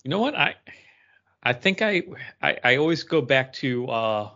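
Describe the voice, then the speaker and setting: A man's voice: mid-range voice, sing-song, moderate pace, pushed and bright. Man, mid-range voice, casual co-host chat, slightly muffled lo-fi recording. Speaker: podcast co-host.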